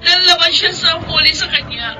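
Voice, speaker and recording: Sobbing high-voiced friend. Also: female voice, normal speed, crowd noise, poor audio. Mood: distraught. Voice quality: crying and shouting. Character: grieving friend Alma, sobbing on the phone. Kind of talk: radio drama acting.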